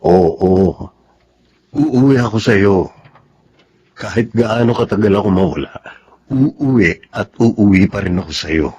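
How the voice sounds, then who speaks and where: Young army husband, Filipino, radio serial: steady, loving, on the low side, slightly muffled. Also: male voice, unhurried, pauses often. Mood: reassuring. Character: devoted young soldier husband, steady, reassuring. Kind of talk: Filipino radio drama acting. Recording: muffled, noisy radio drama audio.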